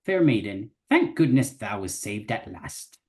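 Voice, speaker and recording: A man's voice: lowish voice, sing-song, unhurried, a little mellow. An actor in an online dramatic reading playing a brave, boastful little male fox knight who talks in old chivalrous speech. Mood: gallant. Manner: archaic chivalrous speech, blustering. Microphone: video call.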